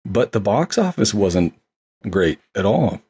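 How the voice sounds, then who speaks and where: A man's voice: on the low side, big pitch swings, slow, mellow, husky. A man in casual film discussion as a podcast guest, talking in an enthusiastic, conversational way.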